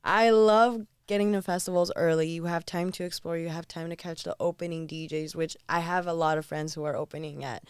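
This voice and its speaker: A woman in her twenties, a guest on a podcast, chatting casually in a bubbly, animated way. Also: lowish voice, a little fast, hardly any pauses, clean tone, no room sound, clean studio sound. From Southern California. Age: 20s. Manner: bubbly, animated, laughs often.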